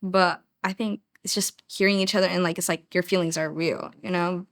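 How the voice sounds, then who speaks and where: Casual teen girl, low voice. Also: fairly even intonation, normal speed. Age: teens. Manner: casual, filler-heavy. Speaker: youth participant. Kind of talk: casual discussion.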